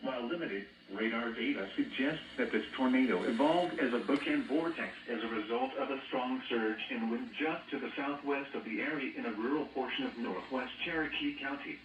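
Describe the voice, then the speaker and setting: A man's voice: medium pitch, normal speed, hardly any pauses, roomy sound, recorded from a distance. An American man's synthetic voice reads a scripted weather bulletin over weather radio in a mechanical announcer's delivery. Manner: flat, mechanical synthetic delivery, reading out codes and figures. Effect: weather radio broadcast. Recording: muffled, noisy weather radio picked up off a speaker in a room.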